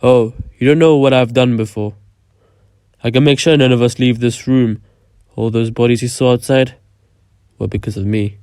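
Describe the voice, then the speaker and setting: A man's voice: medium pitch, fairly even intonation, normal speed, pauses often, darkish tone, clean tone, dry recording, clean studio sound, natural voice. A man acting as a guarded, cocky lone survivor in a game cutscene, blunt and self-assured. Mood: guarded, threatening.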